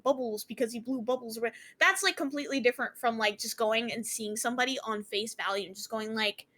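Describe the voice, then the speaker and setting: Woman streamer, slightly high voice, heated casual rant with cursing. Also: fairly bright tone. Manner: passionate, swears freely, lots of fillers. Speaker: streamer on a panel. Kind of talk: casual panel chat.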